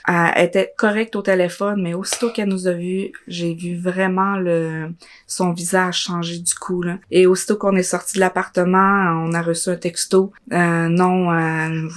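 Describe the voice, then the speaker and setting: Young female interviewee, Quebec French accent. Lowish, monotone delivery, pausing to find words as she shares personal testimony. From Côte-Nord, Quebec, Canada. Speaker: interviewee, social work student.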